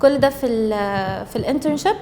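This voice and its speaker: A woman's voice: fairly high pitch, fast, darkish tone, a little hiss or hum. A 23-year-old woman with an Egyptian accent, giving fluent testimonial answers as an intern. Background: music.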